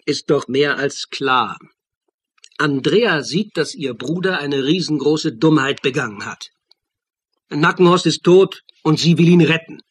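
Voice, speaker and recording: An actor playing a middle-aged, conscientious and intense amateur sleuth in a German radio crime drama, husky and earnest. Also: male voice, a little high, unhurried, no room sound. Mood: excited, certain.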